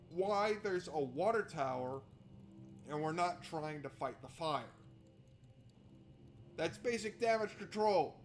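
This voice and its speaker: A man doing casual, sweary let's-play commentary, talking to himself and reading game text aloud. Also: a little high. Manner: informal, sweary, talks to himself. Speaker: game streamer. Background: game music.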